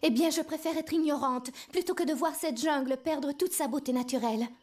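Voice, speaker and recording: An actress playing a spirited, defiant young daughter in a cartoon, with a sarcastic edge. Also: female voice, sits high, brisk, a little mellow, natural voice. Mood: defiant, indignant. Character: defiant young daughter who loves nature. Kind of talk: dubbed cartoon acting.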